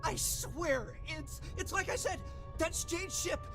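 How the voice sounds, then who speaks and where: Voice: extremely high pitch, slow, a bit bright, soft background music, full character voice. An actor playing a frightened space pilot in a video game, stammering and pleading. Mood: scared, pleading.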